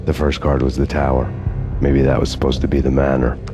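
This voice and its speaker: A very low-voiced noir cop narrates a video game story. He is deadpan and brooding, worn down and out for revenge, with music behind him. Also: fairly quick. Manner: deadpan, world-weary. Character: brooding hard-boiled noir cop narrator, weary and vengeful.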